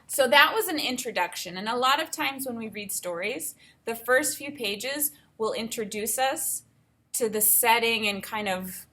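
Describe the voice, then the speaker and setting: A woman with a somewhat high-pitched voice reads aloud as a teacher would. She moves between storybook narration and plain, explanatory commentary pitched at young learners. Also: expressive, unhurried, sharp tone. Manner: explanatory, simple wording addressed to young learners. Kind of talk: read-aloud lesson with think-aloud commentary.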